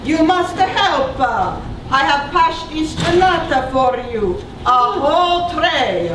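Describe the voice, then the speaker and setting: A woman playing an excitable old Calabrian woman in a puppet show, pleading with many hesitations. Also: high voice, slow pace. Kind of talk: amateur puppet show acting. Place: stage in a hall with an audience. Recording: echoey room from far off, crowd and noise.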